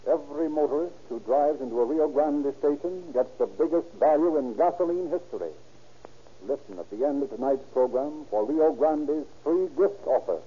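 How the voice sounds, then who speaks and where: A man's voice: a little high, expressive, normal speed. A man announcing from a script in the style of 1930s radio. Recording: muffled, hissy old radio recording.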